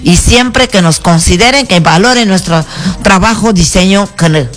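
A woman from Peru whose first language is Shipibo, speaking Spanish with a Shipibo accent as a guest in a radio interview. She talks in an earnest, halting way, with many restarts and "¿no?" tags. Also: medium pitch. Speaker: artisan association president, guest.

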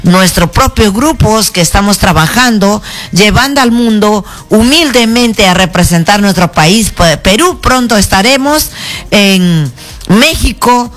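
A woman from Peru whose first language is Shipibo, speaking Spanish with a Shipibo accent as a guest in a radio interview. She talks in an earnest, halting way, with many restarts and "¿no?" tags. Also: mid-range voice, lively intonation. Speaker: artisan association president, guest.

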